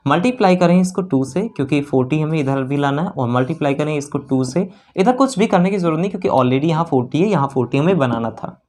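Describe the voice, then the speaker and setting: A man's voice: on the high side, animated, fast, darkish tone. North Indian man teaching maths, repeating himself. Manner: instructive, repetitive, with frequent check-in questions. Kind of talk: lesson explained while solving problems.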